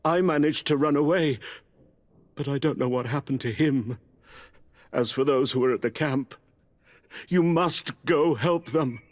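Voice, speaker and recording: A man voices a wounded expedition scholar in a fantasy game. He sounds weak and shaken, stammering in a medium-pitched, frightened voice. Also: close to an everyday voice. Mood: dazed, frightened. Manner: halting, stammering. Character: shaken wounded researcher priest, frightened and urgent. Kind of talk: video game dialogue acting.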